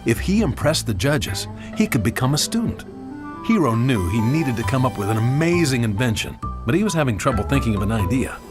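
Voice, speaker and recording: A man narrates a children's storybook with animated, swooping intonation at a middle pitch. Music plays clearly behind him as he reads the scripted text. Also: fairly quick. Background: background music.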